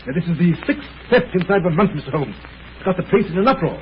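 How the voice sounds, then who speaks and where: A man's voice: sits high, very animated. A man playing an anxious, flustered hospital chief in agitated 1930s radio drama style. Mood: anxious relief. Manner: agitated, hurried. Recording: tinny noisy 1930s radio recording.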